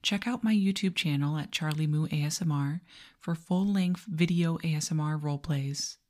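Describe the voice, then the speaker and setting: A woman speaking softly in a hushed, measured ASMR style. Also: deep voice. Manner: soft, breathy, hushed, measured. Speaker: ASMR performer. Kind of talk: ASMR roleplay, slow hypnotic whispering and soft speech. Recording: reverberant echo with long tail.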